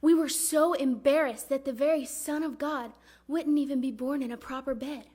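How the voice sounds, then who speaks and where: A woman performing a dramatic religious monologue as a grieving, devout mother recalling her son, speaking earnestly and deliberately. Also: high-pitched, steady tone, darkish tone, close to an everyday voice. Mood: fond reminiscence. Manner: earnest, reverent, deliberate storytelling.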